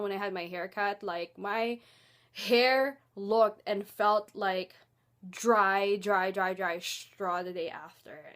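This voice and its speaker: A young woman casually talking through her hair care routine, chatty and rambling with lots of filler words. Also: mid-range voice. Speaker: beauty vlogger.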